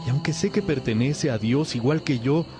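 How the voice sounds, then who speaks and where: A man's voice: medium pitch, moderate pace, warm tone, close to an everyday voice. Man playing an earnest lovesick pupil, music behind. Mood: troubled, longing. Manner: earnest, hesitant, respectful. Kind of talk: religious radio drama dialogue.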